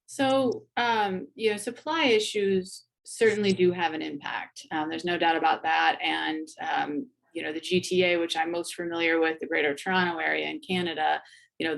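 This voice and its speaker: Woman prof on a panel, low voice w lots of vocal fry, careful and analytical. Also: steady tone, normal speed, fairly bright tone, poor audio. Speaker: professor, panel guest. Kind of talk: academic panel commentary.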